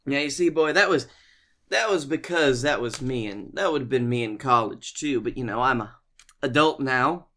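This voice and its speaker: A man co-hosting a comedy podcast, telling casual stories in an animated, playfully exaggerated way. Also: mid-range voice, normal speed. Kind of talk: casual comic storytelling.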